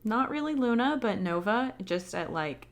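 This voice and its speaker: A woman's voice: on the high side, expressive, moderate pace. Giggly, blunt woman podcaster. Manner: giggly, blunt, swears casually, frequent fillers. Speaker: podcast co-host. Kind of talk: casual chat. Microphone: home recording setup.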